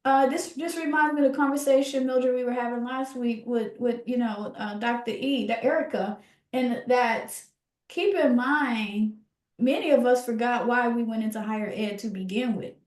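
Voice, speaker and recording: High-voiced woman podcast host, roomy echo. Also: a little mellow. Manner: emphatic, halting, repeats words for emphasis. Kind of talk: reflective conversational discussion.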